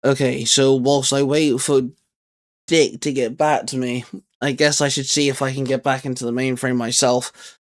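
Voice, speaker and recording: A man's voice: mid-range voice, level tone, normal speed. A man hosting casually, talking in an informal, hesitant, improvised way. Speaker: YouTube channel host. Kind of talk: casual, improvised skit and chat.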